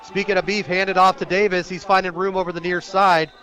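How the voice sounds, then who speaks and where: A man with an American accent and a fairly high voice calls football live for radio. He describes each moment of the action as it unfolds, from outdoors at the stadium. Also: little pitch movement, fairly quick, a bit bright. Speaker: play-by-play sports announcer.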